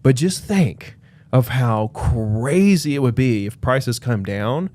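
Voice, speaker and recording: A man's voice: mid-range voice, animated, slow pace, a little mellow. A man co-hosting a casual podcast chat, talking loosely with lots of fillers and restarts. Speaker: podcast co-host, real estate agent.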